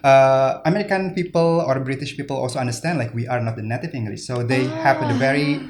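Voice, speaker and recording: A man's voice: medium pitch, fast talker, darkish tone, faint music behind. A man from Indonesia answering interview questions earnestly, with many fillers and restarts. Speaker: guest speaker.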